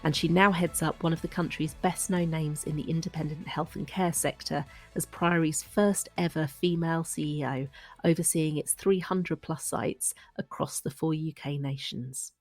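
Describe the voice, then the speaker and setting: Low-voiced woman hosting a podcast. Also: steady tone, normal speed, darkish tone, faint music behind. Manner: polished, read from a script. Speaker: podcast host. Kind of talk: scripted introduction and interview questions.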